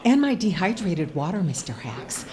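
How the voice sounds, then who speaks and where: A woman's voice: on the low side, sing-song, moderate pace, darkish tone. An actress playing a keen, polite woman inventor in an audio drama, earnest and formal. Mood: eager. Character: keen, polite female inventor of stage effects.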